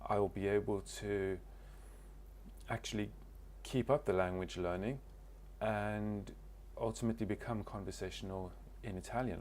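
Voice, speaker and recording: Guy talking to camera, lowish voice, bit hesitant, faint hum behind. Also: a little mellow. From Cornwall, England. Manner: hesitant, restarts and searches for words. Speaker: language learner. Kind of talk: casual self-introduction to camera.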